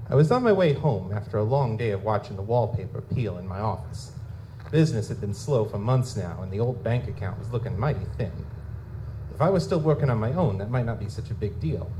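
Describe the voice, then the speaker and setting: A man's voice: medium pitch, mellow, close to an everyday voice, rough recording. An actor playing a wry, down-on-his-luck lutin private investigator in a live audio drama, with wisecracking, folksy noir storytelling. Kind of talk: live audio drama, first-person noir narration and dialogue. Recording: music behind the voice.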